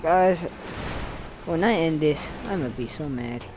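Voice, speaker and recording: A man giving excited, panicky commentary while playing a driving game, repeating words rapidly when things go wrong. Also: a little high, noisy background. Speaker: gameplay commentator.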